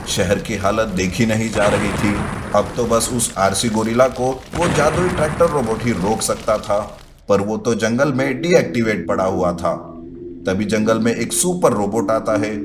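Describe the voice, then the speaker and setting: A man's voice: fairly low pitch, background noise. A man reading scripted narration for a children's story as the storyteller. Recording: loud music behind voice.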